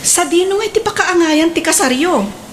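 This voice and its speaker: A woman plays a chatty Ilocano friend in a radio drama. Her high voice swings up and down expressively as she gives her opinions. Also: lively intonation, moderate pace, slight background noise. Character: lively working bride's friend, chatty, opinionated.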